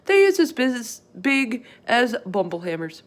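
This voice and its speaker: A woman voicing a big, friendly male giant from a children's storybook, earnest and excitable, with muddled folksy grammar. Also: fairly high pitch, unhurried, pitched above the performer's own voice. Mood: emphatic explaining. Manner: emphatic, garbled folksy grammar with made-up words.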